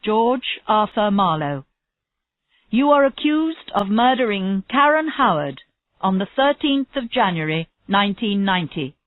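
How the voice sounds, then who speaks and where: A woman reading a storybook, playing a stern court official who formally declaims charges in measured phrases. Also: medium pitch, big pitch swings. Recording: roomy, slightly dull lo-fi audio.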